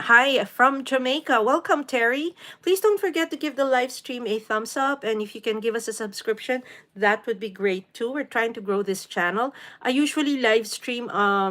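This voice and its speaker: A woman's voice: fairly high pitch, a bit bright. Woman, from the Philippines, 40s, live stream host, casual chat, greets viewers by name, lots of fillers. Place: home in New York City.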